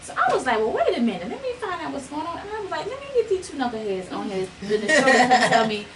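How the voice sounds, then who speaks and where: A woman's voice: high-pitched, fast, crowd noise. A woman hosting a talk radio show, chatting casually and telling stories with lots of laughter. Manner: lively storyteller, laughs often. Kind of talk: casual chat. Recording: distant mic with noisy background.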